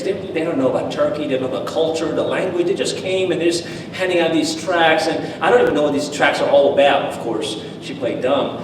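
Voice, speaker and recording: A man's voice: on the high side, slight background noise. A man giving a personal testimony at a church seminar, telling stories animatedly with frequent restarts and fillers. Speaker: seminar speaker. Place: church hall. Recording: echoey hall, far from the mic.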